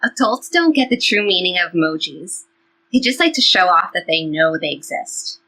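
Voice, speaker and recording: Woman as loyal teen bestie in an audio play, upbeat n reassuring, bright clear-cut voice. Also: fairly low pitch, very animated, moderate pace, full character voice, rough recording. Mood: supportive. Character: supportive, upbeat seventeen-year-old girl, loyal best friend. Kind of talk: audio play reading, conversational teen dialogue.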